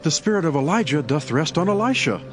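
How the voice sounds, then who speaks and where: A man's voice: a little high, big pitch swings, quick pace, faint music behind. An actor playing an eager, deferential male disciple in a dramatized scripture, speaking in an earnest, declamatory way. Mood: urgent pleading.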